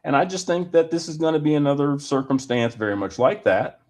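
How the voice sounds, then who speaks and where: A man giving a rambling personal opinion monologue in a deliberate, halting manner with wordy, formal phrasing. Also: fairly high pitch, darkish tone.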